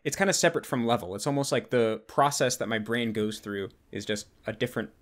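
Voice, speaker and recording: A man's voice: mid-range voice, fairly quick, a bit bright. A man, a native English speaker, hosting a casual interview and working toward his questions in a rambling way. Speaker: host, interviewer.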